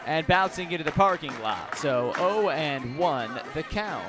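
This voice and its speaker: A man calling baseball play-by-play live on the radio, phrasing deliberately and swelling on the big plays. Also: fairly high pitch, lively intonation. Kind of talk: live radio sports commentary. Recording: noisy outdoor ballpark with crowd. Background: ballpark crowd noise.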